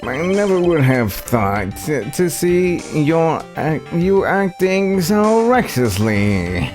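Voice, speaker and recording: A male streamer reading visual novel dialogue aloud in character, halting and rereading lines as he goes. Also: a little high, big pitch swings, darkish tone, soft background music.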